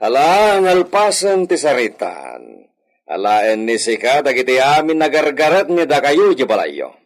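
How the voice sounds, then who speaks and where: An actor with an Ilocano accent playing a suspicious, bitter hacienda master in a radio drama, brooding and given to dramatic outbursts. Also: male voice, medium pitch, very animated, a little slow. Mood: stern, brooding. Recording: music bed under the voice.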